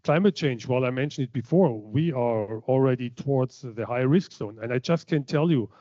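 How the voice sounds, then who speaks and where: A man's voice: medium pitch, moderate pace, warm tone, no echo, lo-fi sound. Man giving a slide lecture. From Germany. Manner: hesitant, many fillers and restarts. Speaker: keynote speaker.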